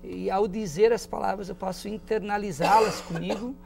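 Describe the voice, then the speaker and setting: A man with a notably high voice speaks in a thoughtful studio interview. His tone carries a strong gravelly crackle, and he picks his words slowly, restarting phrases as he goes. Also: fairly even intonation, over loud music. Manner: reflective, searching for words with many restarts. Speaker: guest, public school teacher and parent.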